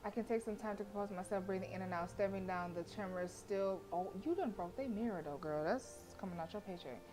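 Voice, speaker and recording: A woman game streamer reading story narration aloud in an expressive, dramatic way, with casual delivery between passages. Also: medium pitch, fast talker. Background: game music.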